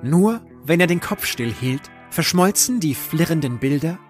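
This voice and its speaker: A man narrating a scripted audio drama in a measured, literary storytelling manner. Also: a little high, expressive, soft background music.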